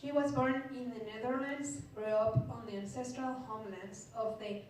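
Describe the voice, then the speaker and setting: A woman's voice: on the high side, steady tone, normal speed, darkish tone, a little hiss or hum. Moderator woman, echoey and distant. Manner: hesitant, many fillers. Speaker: event moderator. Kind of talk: introducing speakers. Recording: echoey room, voice from far off.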